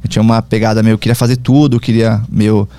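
A man from Brazil, a podcast guest, talking in a reflective, halting way with many fillers and restarts. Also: on the low side, little pitch movement, mellow, no echo. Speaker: podcast guest, travel platform founder. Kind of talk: casual conversational interview.

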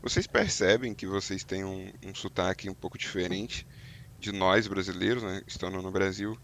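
Guy rambling thru a voice memo, lowish voice, bit of noise behind. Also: fairly even intonation, moderate pace, a bit bright. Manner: rambling, hesitant. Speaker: listener sending a voice message. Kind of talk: casual recorded question.

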